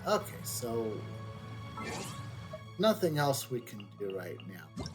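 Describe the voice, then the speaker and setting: A man giving casual live game commentary, thinking aloud in a halting way. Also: medium pitch, very animated. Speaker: game streamer. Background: soft game music.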